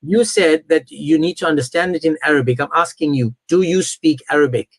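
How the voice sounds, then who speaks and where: A man's voice: a little high, brisk. A man hosting a live online religious debate, speaking in an insistent, confrontational and emphatic way. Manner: insistent, confrontational, repeats pointed questions. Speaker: debate host.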